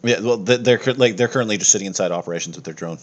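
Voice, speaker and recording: A man acting as game master, narrating a tabletop game casually with halting, improvised explanations. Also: fairly low pitch, highs cut off, slight background noise.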